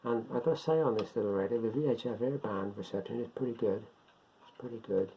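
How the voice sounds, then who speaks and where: An older man giving a casual, unscripted product demonstration, talking haltingly as he thinks aloud. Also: mid-range voice. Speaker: presenter of a beginners' radio hobby video. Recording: dull lo-fi sound, faint background noise.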